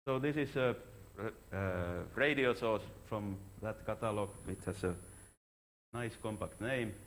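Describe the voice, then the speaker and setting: A man presenting research at a scientific conference in a halting, informal, self-deprecating way. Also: fairly low pitch, a bit bright. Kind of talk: contributed scientific conference talk. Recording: clear background noise.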